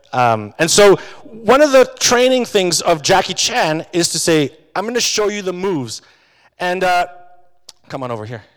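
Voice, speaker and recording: A man with a high voice preaches to his congregation. He keeps it conversational, checking in with tag questions and restarting his sentences. The sound rings with the long reverb of a church hall. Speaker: pastor. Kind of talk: sermon.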